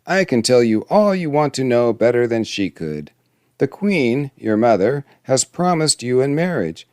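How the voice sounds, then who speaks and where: A man's voice: medium pitch, sing-song, unhurried, a little mellow, dry recording, studio quality, pitched above the performer's own voice. A man voicing a malicious little fairy tale dwarf with a taunting, self-important manner, in a storybook reading. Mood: smug, coaxing. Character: malicious little dwarf, boastful, spiteful suitor.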